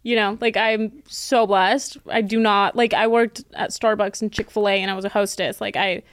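A woman's voice: mid-range voice, little pitch movement, normal speed, no echo, clean studio sound. A twenty-one-year-old woman chatting casually and slangily as a podcast co-host.

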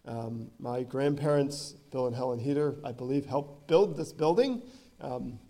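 Hoarse thirties man, missionary addressing a congregation, earnest with ums, warm mellow tone. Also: medium pitch, measured pace. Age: 30s. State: hoarse from a cold. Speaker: visiting missionary. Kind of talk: church missionary talk. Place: church sanctuary.

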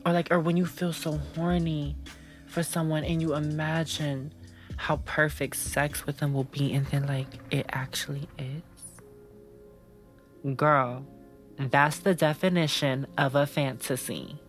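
An actress playing a bold young woman who describes a fantasy in a breathy, unfiltered, swearing way, in an audio drama. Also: low voice, steady tone, unhurried, soft background music. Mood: brash.

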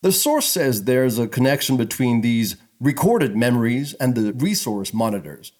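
A man's voice: medium pitch, very animated, moderate pace, a little mellow, natural voice. A man playing a polished, pressing talk show host of the far future in a sci-fi audio drama. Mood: pressing, insistent.

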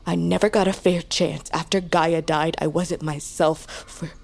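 A woman's voice: fairly low pitch, steady tone, unhurried, vocal fry, a little mellow. An actress playing a headstrong young huntress, a queen's yearning daughter, in an audio drama, speaking with earnest intensity. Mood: defiant, pleading. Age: young adult. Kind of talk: dramatic audio fiction acting.

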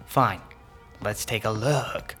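A flippant young boyfriend in a horror radio drama, grumbling and wisecracking. His voice is bright and forceful, with some music underneath. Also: fairly low pitch, takes it slowly. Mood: flippant, joking. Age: young adult. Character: flippant, irritable young boyfriend trapped in an apartment. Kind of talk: audio horror drama acting.

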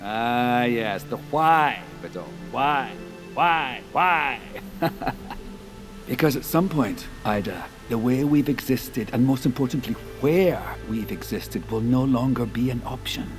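A man's voice: medium pitch, slow pace, lightly acted. A man playing a grandiose, self-assured tech visionary in an audio drama, speaking like a showman. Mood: persuasive. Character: grandiose visionary tech founder unveiling his life's work. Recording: music under the voice.

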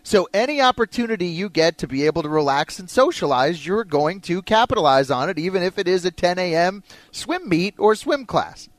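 A man's voice: high voice, expressive, a little fast, no echo. A man hosting a sports talk radio show in a casual, bantering style.

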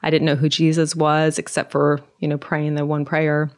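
A middle-aged woman from Norway with a Norwegian accent, soft-spoken and hesitant, telling her personal story in a conversation. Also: low voice, completely flat delivery, moderate pace. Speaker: guest.

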